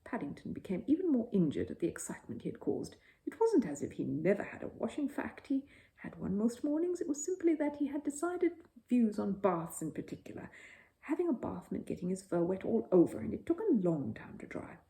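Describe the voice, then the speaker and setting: Mellow woman reading a bedtime story. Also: mid-range voice, sing-song, fairly quick, creaky. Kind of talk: expressive bedtime story narration.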